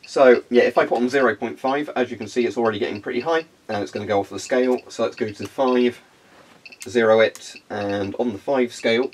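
A man's voice: mid-range voice, fairly quick, slight background noise. A young man with a congested, phlegmy voice, casually demonstrating equipment in a carpeted room and rambling with frequent ums. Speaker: hobbyist video host.

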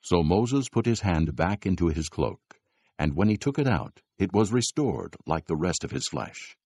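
A man's voice: low voice, expressive, moderate pace, darkish tone, husky, no echo. A man reading scripted narration as the narrator of a dramatized audio Bible.

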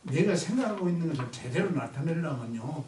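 A man's voice: fairly high pitch, lively intonation, brisk, darkish tone, a little hiss or hum. An older man with a Jeolla accent, a former teacher judging a dialect contest, talking in a folksy way and quizzing people on words. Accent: Jeolla Korean. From Jeolla province, Korea. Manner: folksy, asks questions and affirms answers. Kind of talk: casual group chat about dialect. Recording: echoey room, voice from far off.

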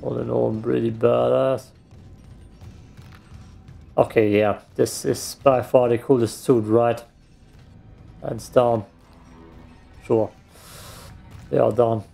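Slow, gravelly gamer. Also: male voice, medium pitch, steady tone, dark tone, faint music behind. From Austria. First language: German. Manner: joking, self-deprecating. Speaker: let's play host. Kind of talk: casual gaming commentary.

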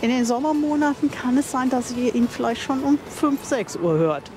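A woman's voice: high voice, expressive, normal speed, over music. Chatty older woman touring outdoors. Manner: chatty, enthusiastic, thinks aloud and corrects herself. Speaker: vlogger touring a campsite. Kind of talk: casual on-camera tour commentary. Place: outdoors at a campsite playground.

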